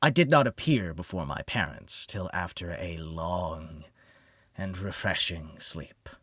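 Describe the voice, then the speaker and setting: A man narrating a gothic horror story in the first person, with a measured, theatrical and formal literary delivery. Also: low voice, animated, a bit bright, no room sound. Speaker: audiobook narrator.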